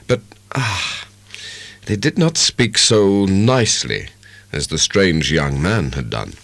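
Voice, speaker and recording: A man giving a measured, expressive dramatic narration of a literary audiobook. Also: lowish voice, no echo.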